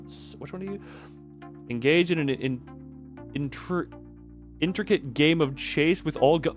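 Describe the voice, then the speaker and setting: A man livestreaming a game with casual, excitable commentary, rambling and thinking aloud to himself. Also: a little high. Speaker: game streamer. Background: music.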